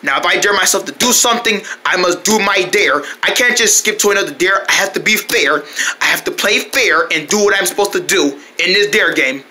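A woman's voice: lowish voice, lively intonation, a little fast, bright, forceful tone. A woman voicing a stubborn, boastful young male daredevil in an amateur improvised skit, with an insistent way of talking. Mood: determined, boastful. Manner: insistent, bragging.

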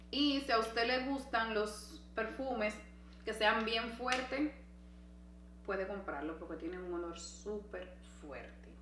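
A woman's voice: on the high side, sharp tone. A woman giving a chatty, informal, enthusiastic product promotion as a video host. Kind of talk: casual scripted sponsor read. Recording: echoey room with faint hum.

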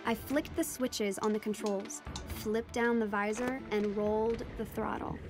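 A woman playing a marine biologist's daughter, telling her undersea adventure in measured, dramatic first-person narration. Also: fairly high pitch, fairly even intonation, over music. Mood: reflective.

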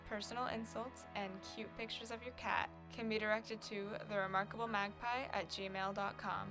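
A woman reading scripted credits as the announcer of an audio production. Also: mid-range voice. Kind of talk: scripted narration.

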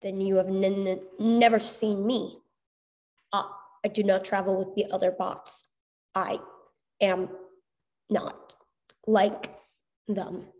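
A woman voicing a timid, glitchy female robot spider that speaks haltingly, word by word, with stuttered consonants. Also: mid-range voice, flat delivery, a little mellow. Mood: timid, hesitant.

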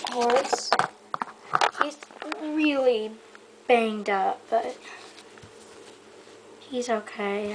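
A woman giving a casual, rambling show-and-tell in a hesitant, halting way that often trails off. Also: medium pitch. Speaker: hobbyist giving a toy horse stable tour. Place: indoors in a room at home. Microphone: handheld camera held close by the speaker. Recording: noisy amateur home camera recording.

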